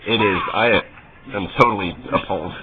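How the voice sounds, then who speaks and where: Man on a muffled line, deadpan and halting. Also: low voice, over a noisy crowd. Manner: deadpan, mock-earnest, halting. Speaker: prank caller. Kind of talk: prank phone call posing as a customer with a computer problem. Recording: muffled narrowband phone call.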